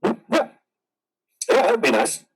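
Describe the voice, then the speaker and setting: A man playing a brooding, guarded, grieving veteran in an amateur stage play reading, with a dramatic, halting delivery. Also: sits high, wildly swooping pitch, slightly rough, distant mic, heavily acted. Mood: strained, polite. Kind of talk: amateur reader's theater over video.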